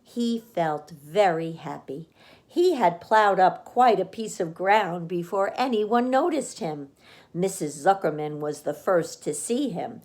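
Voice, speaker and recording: A woman narrating a children's storybook as a read-aloud reader, with expressive delivery. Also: lowish voice, slow.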